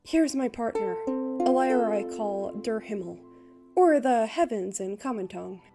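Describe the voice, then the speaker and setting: Woman as a playful, high-voiced boyish bard. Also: lively intonation, unhurried, loud music behind the voice. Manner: lilting, theatrical, speaks in rhymes. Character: playful young male bard, impish, poetic, secretly ancient. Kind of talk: dramatized fan comic dub.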